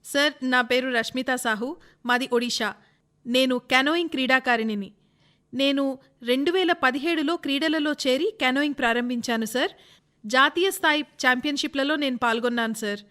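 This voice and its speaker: A woman acts out a young female athlete in a dubbed radio interview. Her voice is very high and sharply bright. She answers earnestly and respectfully, like an eager junior addressing an elder. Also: moderate pace. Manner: deferential, earnest. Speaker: reader voicing an interviewed athlete.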